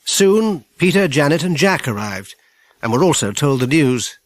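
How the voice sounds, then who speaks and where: Low-pitched British woman narrating a story. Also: very animated, moderate pace, no room sound. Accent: British English. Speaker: audiobook narrator. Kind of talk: scripted children's story narration.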